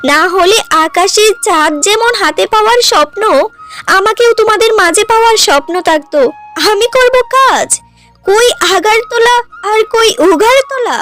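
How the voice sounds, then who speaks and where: Cartoon spoiled young wife, played by a woman: high voice, scornful, complaining, rich-girl arrogance. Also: lively intonation, normal speed, fairly bright tone, faint music behind. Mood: indignant, scornful. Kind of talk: cartoon drama acting.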